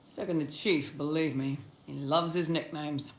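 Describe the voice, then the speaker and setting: An actor playing a cheeky young mercenary lieutenant with a dry, teasing manner. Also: male voice, fairly high pitch, fairly even intonation, a little slow. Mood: wry. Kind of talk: video game dialogue acting. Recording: roomy game capture, faint background noise.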